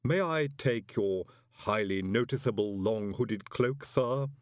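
A man voicing a stumpy, impassive manservant in an audiobook, formal, deadpan and deferential. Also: fairly low pitch, no room sound, studio quality. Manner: deadpan, ceremonious, unhurried servility.

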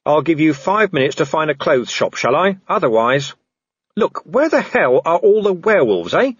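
A man's voice: on the high side, very animated, moderate pace, slightly rough, dry recording, highs cut off, voice pushed up. A man voicing a sardonic, exhausted older police commander with an irritable, growling manner, in an audiobook. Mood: irritable, sarcastic. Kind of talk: audiobook character acting.

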